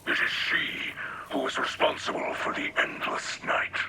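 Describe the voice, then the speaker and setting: Slow, whispery man voicing a grave alien captain. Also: very noisy, lightly acted. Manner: solemn, formal, deliberate phrasing. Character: grave alien male captain, wary spiritual splicer leader. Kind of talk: video game character dialogue.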